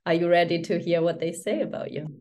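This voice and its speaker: A middle-aged woman hosting a podcast interview, admiring and quick to laugh. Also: fairly low pitch, steady tone, darkish tone. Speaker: podcast host.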